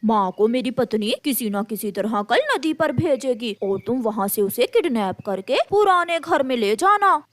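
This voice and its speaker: A woman's voice: on the high side, expressive, normal speed, few pauses, no room sound, lightly acted. A woman voicing a greedy, scheming crow son in a cartoon story, cold and insistent. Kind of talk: Hindi animated moral story dialogue.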